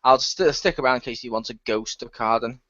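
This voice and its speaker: A man in a casual group chat, telling stories in an animated way, acting out quotes and swearing freely, on a slightly lo-fi recording. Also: mid-range voice, brisk, no echo. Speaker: radio play cast member on a wrap-up discussion.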